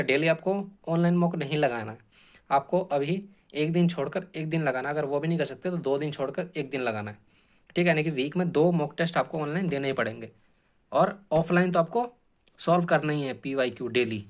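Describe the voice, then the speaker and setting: Higher-pitched man teaching informally. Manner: explanatory, repeatedly checks in with short tag phrases; mixes English terms into speech. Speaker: exam coaching teacher. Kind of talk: informal instructional exam-prep talk.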